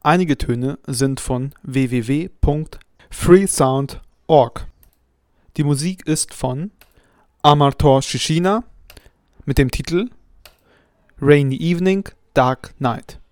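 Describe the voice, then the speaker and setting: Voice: mid-range voice, slow, long pauses, darkish tone, dry recording, studio quality. A speaker reading out production credits as plain scripted narration. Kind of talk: slow read-out of credits.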